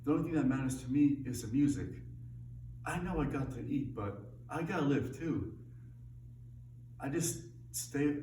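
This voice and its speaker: A man's voice: medium pitch, darkish tone, natural voice. A man performing a dramatic stage monologue as a resentful, defiant son in his twenties, plainspoken and insistent. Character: defiant, resentful twenty-five-year-old musician son confronting his father. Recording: echoey, distant microphone, background noise.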